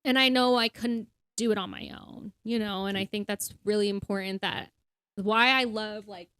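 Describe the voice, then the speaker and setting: A young woman in her twenties co-hosting a podcast, talking candidly and quickly with lots of fillers. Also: medium pitch, steady tone, a bit bright, clean tone, dry recording. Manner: candid, talks fast with many "like" fillers. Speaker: podcast co-host. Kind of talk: casual chat.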